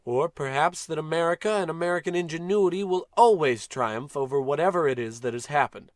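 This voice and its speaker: A man's voice: fairly high pitch, fairly quick, no echo, clean studio sound, natural voice. A man playing a pompous, blustering space captain in an old-time radio comedy, declaiming with great self-importance. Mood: solemn, grandiose.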